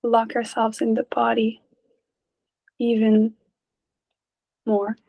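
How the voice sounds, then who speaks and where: A woman leading a guided meditation in a gentle, calm, unhurried way, leaving long pauses between short phrases. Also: on the high side, gravelly, dull audio. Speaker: meditation teacher.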